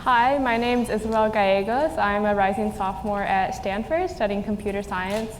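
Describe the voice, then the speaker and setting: A young woman from New Mexico, a student answering clearly on a stage panel. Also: medium pitch, fairly even intonation, moderate pace, a loud crowd. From New Mexico, United States. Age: young adult. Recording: roomy hall, faint noise.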